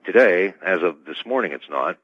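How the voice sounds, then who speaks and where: A man speaking as a guest in a radio interview, choosing his words carefully and formally, with hesitant, measured phrasing. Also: low-pitched, expressive, slightly rough, no echo. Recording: dull band-limited radio line.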